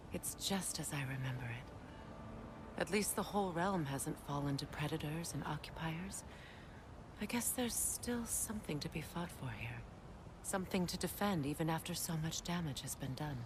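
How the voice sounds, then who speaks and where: An actress playing a proud, wounded, mature warrior goddess in a video game, speaking in a measured, earnest and dignified way. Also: female voice, low voice, lively intonation, background noise. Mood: reflective. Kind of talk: video game cinematic dialogue. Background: music.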